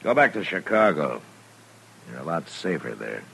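A man's voice: a bass voice, sing-song, moderate pace, vocal fry, sharp tone, full character voice. A man acting in a 1950s radio western as a weary frontier marshal, laconic and wry, with dry humor. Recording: vintage radio recording, faint noise.

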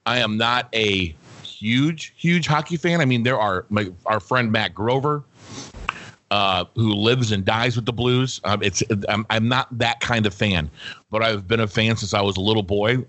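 A man co-hosting a casual sports talk show, talkative and relaxed, often correcting himself mid-sentence. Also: fairly low pitch, a little slow. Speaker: co-host.

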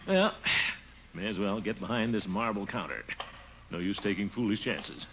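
A man's voice: fairly low pitch, normal speed, lightly acted. A man playing a pompous, gullible, jittery police commissioner in a blustery, chuckling 1940s radio comedy style. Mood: jovial, blustering. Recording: muffled, noisy 1940s radio recording.

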